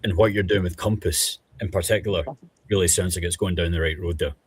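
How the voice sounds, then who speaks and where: A man with a Scottish accent, speaking as a guest on an online panel discussion in a measured, thoughtful way with frequent pauses. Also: deep voice, steady tone, husky, no echo. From Scotland.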